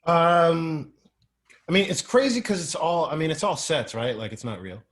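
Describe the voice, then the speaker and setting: A man chatting casually as a panelist, in a loose, halting, colloquial way. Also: fairly high pitch, lively intonation, fairly bright tone. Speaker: actor on a cast reunion panel. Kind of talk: casual chat.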